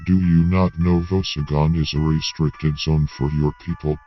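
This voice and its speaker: A man doing a cold monotonous alien governor. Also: a bass voice, normal speed, soft background music, pitched below the performer's own voice. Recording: dull lo-fi with hiss.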